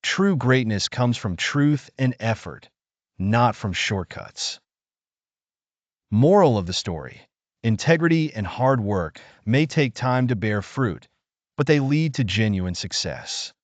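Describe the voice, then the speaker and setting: A man narrating a scripted folktale in a measured, expressive storytelling manner with deliberate phrasing. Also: mid-range voice, no room sound.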